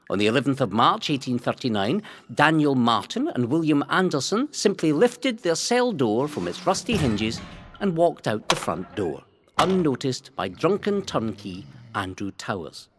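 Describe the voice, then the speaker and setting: A man's voice: mid-range voice, a bit bright. Man narrating history with dramatic, swooping delivery. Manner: theatrical, measured storytelling. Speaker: narrator of a local history audio guide.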